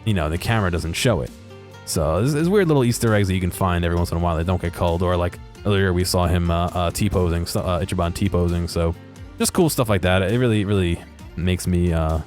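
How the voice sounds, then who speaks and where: A man's voice: sits low, quick pace, a little mellow, soft background music. A man giving casual, unscripted commentary over game footage, with a hesitant, rambling and understated way of talking. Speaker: video creator commentating on game exploration.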